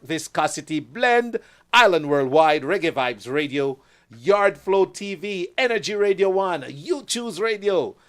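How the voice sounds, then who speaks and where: A man hosting a live talk show, energetic and hyping up his guest and partner stations. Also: high voice, clean tone.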